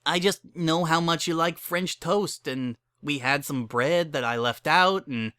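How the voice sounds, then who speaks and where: A woman's voice: low-pitched, unhurried, a bit bright, dry recording, clean studio sound, voice pushed up. A woman voicing a timid, anxious young female pony in a dramatic story reading, hesitant and pleading.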